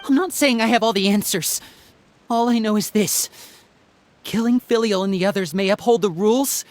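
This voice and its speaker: A man's voice: very high-pitched, dry recording. An actor playing an earnest young man who pleads with heartfelt conviction. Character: earnest young man, devoted adopted son.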